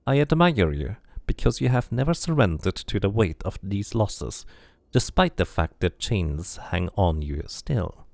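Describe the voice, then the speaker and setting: A man voicing a solemn male dabus tattooist who speaks in slow, cryptic pronouncements. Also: lowish voice, very animated, vocal fry, darkish tone, no echo, full character voice.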